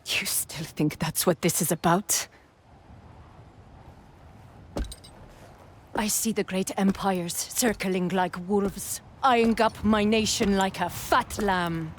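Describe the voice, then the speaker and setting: A woman playing a cold, resolute warlord in a video game, speaking gravely with measured menace. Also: mid-range voice, a little hiss or hum, close to an everyday voice. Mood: scornful. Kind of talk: dramatic video game cutscene acting.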